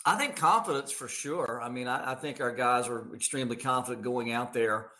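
A man's voice: medium pitch, brisk, sharp tone. An American man, a radio sports announcer, talking conversationally in an interview with emphatic, folksy phrasing.

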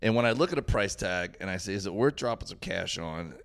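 A man from Miami, a guest on a podcast, with a fairly low voice. He chats eagerly and trips over his own words as he piles on the details of a story. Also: animated, brisk, gravelly. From Miami, United States. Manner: chatty and eager, tells cooking stories with restarts. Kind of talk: casual podcast chat.

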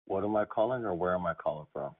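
A man in his thirties from Texas flirts in a laid-back, eager way. His voice is low and husky. It comes through a thin phone line. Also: fast talker. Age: 30s. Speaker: caller.

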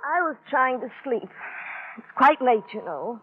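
A woman's voice: high voice, expressive, unhurried, over music. A woman playing a haughty, glamorous stage actress with a morbid streak, theatrical and intense in 1950s radio melodrama style. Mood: irritated, imperious. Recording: tinny, noisy vintage radio recording.

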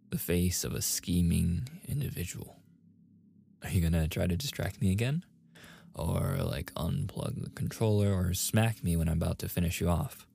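A man's voice: deep voice, vocal fry, warm tone. A man playing a playful, easily flustered young gamer boyfriend in a casual, intimate ASMR roleplay. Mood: cocky, teasing. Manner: casual, intimate, with chuckles and sighs.

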